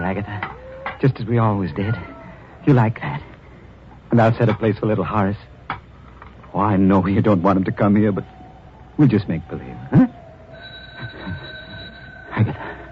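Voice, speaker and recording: An actor playing an obsessed, cunning mad sculptor in an old radio drama, talking in low intense mutters. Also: male voice, moderate pace, long pauses, noisy background, natural voice. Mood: brooding. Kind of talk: 1940s radio acting. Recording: dull lo-fi old radio recording.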